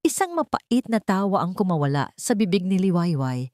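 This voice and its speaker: A woman's voice: fairly low pitch, very animated, moderate pace, darkish tone, no room sound, studio quality. A woman narrating a scripted story in a dramatic storytelling style.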